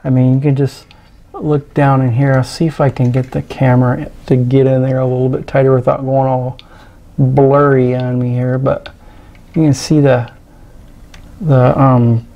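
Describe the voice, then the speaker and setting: Slow, gravelly middle-aged man. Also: medium pitch, flat delivery, a little mellow, dull audio. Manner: hesitant, halting, unscripted delivery. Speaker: electronics hobbyist presenter. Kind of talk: casual hands-on teardown commentary. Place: indoors at a tabletop.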